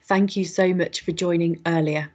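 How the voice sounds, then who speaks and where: A woman with a slightly croaky voice, hosting an online webinar and welcoming attendees in a chatty way, with lots of ums and quick self-corrections. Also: on the low side, steady tone, a little mellow, highs cut off. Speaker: webinar host. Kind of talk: welcoming an online audience, housekeeping.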